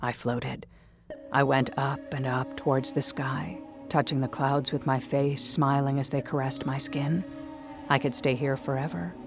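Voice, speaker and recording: A woman reading a first-person horror story in a hushed, dreamy way that slips into whispers. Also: a bass voice, fairly even intonation, measured pace. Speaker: narrator. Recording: soft music behind.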